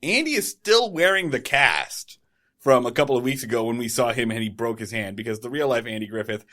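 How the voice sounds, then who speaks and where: A man co-hosting a podcast, talking casually about an old sitcom. His pitch moves a lot, rising and falling as he jokes through the plot in an easy conversational way. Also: fairly quick. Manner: animated, jokey recapping of an old sitcom episode. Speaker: podcast co-host.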